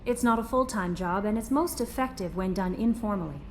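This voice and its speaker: Actress as friendly young crew assistant in a game, earnest, midrange voice, bit of noise behind. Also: female voice, medium pitch, brisk, lightly acted. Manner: eager, personable. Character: friendly, earnest young female ship's aide. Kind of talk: video game voice acting.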